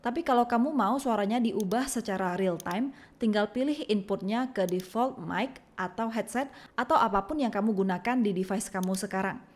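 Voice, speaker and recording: A woman with an Indonesian accent reading a scripted software tutorial, giving clear step-by-step instructions. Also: medium pitch, normal speed. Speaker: tutorial narrator.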